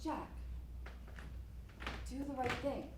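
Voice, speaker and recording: A woman acting an accusing, protective best friend in a stage play, speaking with emphatic dramatic pauses, recorded from afar in an echoey hall. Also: high-pitched. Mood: firm, pleading. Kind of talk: student one-act stage play acting. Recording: very echoey hall, far mic, faint noise.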